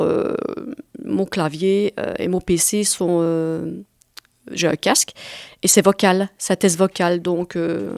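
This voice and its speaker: Low-pitched Belgian woman in her forties. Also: a little mellow, no room sound, clean studio sound. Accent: Belgian French. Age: 40s. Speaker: guest author and artist. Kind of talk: conversational interview answers.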